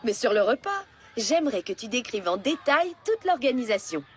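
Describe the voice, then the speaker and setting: Brief: warm-hearted schoolteacher, lilting and lively, a touch high, soft music beneath. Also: female voice, normal speed, slight background noise. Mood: coaxing. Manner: gentle, coaxing. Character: kindly, encouraging schoolteacher woman. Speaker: teacher. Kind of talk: cartoon dub.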